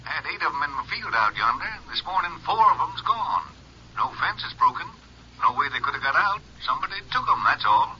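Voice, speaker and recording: An exasperated, folksy rancher father, with a rural Western American accent. His delivery is animated and blunt, on a muffled, hissy old radio western recording. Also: fairly high pitch, fairly quick, exaggerated voice. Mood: agitated, insistent. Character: gruff, indignant rancher father, easily riled. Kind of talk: 1950s radio western acting.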